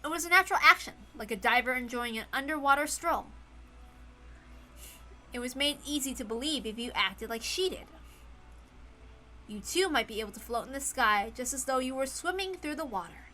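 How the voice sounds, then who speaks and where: A woman narrates a fantasy story in a high, bright voice. Her delivery is dramatic and scripted, like an audiobook reading of a visual novel. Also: animated, normal speed, pauses often, a little hiss or hum.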